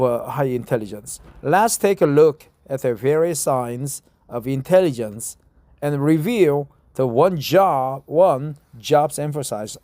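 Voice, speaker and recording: A man's voice: mid-range voice, dark tone, no echo. A middle-aged Korean man, a non-native speaker, reading an article aloud in English with a Korean accent, hesitating with many fillers and self-corrections. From South Korea. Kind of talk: casual self-recorded English reading practice.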